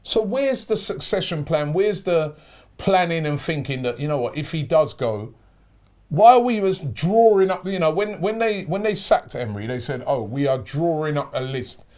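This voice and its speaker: Frustrated middle-aged host. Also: male voice, fairly high pitch, very animated, normal speed, a little mellow. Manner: frustrated ranting, with frequent restarts. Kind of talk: fan opinion talk show.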